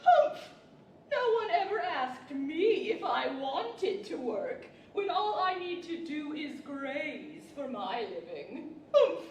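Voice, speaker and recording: A woman's voice: high-pitched, very animated, slow pace, a little mellow, heavily acted. A woman playing a sullen, lazy, haughty camel that grumbles in a radio theater style. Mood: haughty, defiant. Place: large library event room, live stage. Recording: distant, echoey live hall with faint noise.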